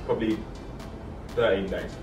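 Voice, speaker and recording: A young man trades dry, teasing banter from across an echoey room, well away from the mic. Music runs clearly behind him. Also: fairly low pitch, steady tone, normal speed, distant mic. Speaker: vlogger's partner.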